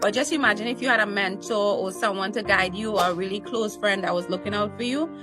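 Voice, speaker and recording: A woman's voice: mid-range voice, quick pace, over music. A woman from Trinidad and Tobago with a Trinidadian accent, telling personal stories as an interview guest in an emphatic, informal way. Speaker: podcast guest.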